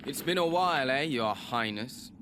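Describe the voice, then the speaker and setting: A British man playing a brash, cheeky young hero in a video game with animated, informal delivery. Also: medium pitch, normal speed, background noise, lightly acted. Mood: taunting. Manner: cocky, irreverent, informal.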